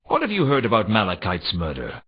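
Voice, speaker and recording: An actor playing a world-weary, sardonic noir private detective in a video game, with a dry, deadpan delivery. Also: male voice, on the low side, a little fast, breathy edge, exaggerated voice. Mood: probing. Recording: dull, lo-fi game audio.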